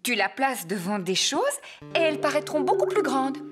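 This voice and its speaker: A woman voicing a gentle, helpful mummy pig in a French children's cartoon, kindly and reassuring. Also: sits high, very animated, moderate pace, music in the background.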